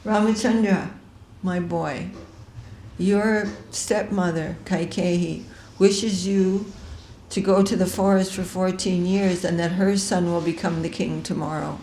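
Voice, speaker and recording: A woman's voice: lowish voice, steady tone, slow pace, dark tone, a little hiss or hum. A woman voicing a doting old king who speaks effusively and pleads. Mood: sorrowful. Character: doting old king, grief-struck by a promise. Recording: echoey room.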